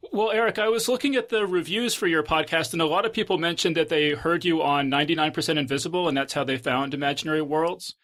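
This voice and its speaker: A man hosting a casual podcast interview, asking his questions in a hesitant, halting way. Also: a little high, a bit bright. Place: closet at home.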